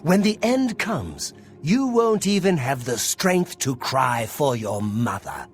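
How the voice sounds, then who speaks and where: Male game prisoner: taunting, spiteful, unhurried, extremely sing-song sneer. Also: mid-range voice, big pitch swings, faint music behind. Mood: mocking. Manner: mocking, drawn-out sneer.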